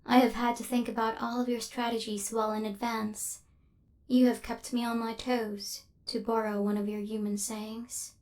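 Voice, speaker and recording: Casting: woman to play female assassin android, higher register, imperious and icy, formal machine-like diction, secretly flustered, ASMR audio drama. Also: level tone, normal speed, a little mellow, close to an everyday voice. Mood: flustered, softening. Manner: formal, clinical, stilted robotic phrasing. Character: female killer robot hunter, cold, haughty, secretly flustered. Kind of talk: ASMR roleplay.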